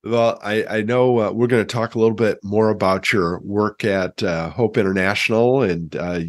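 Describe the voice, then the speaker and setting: A man with a deep voice hosts an interview podcast. His delivery is conversational and a bit hesitant in its phrasing. The sound is dry, with hardly any room to it. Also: fairly even intonation, moderate pace, dry recording.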